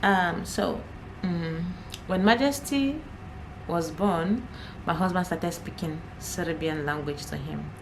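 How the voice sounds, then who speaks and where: Chatty Nigerian woman, lowish voice. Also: slow pace, vocal fry, noisy background. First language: Igbo. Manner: chatty, laughs often, repeats herself. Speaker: vlogger. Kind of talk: casual chat to camera.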